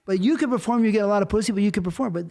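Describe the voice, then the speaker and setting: Host, man in his 50s, high voice, blunt, swears freely. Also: creaky, a little mellow. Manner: blunt and profane, quick interjections. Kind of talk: casual interview chat.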